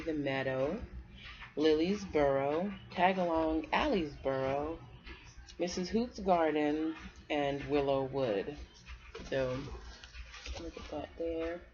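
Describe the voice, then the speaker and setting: A woman's voice: sits low, darkish tone. A woman from the United States speaking personally and reading aloud for children as an amateur storybook reader. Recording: dull, muffled amateur recording.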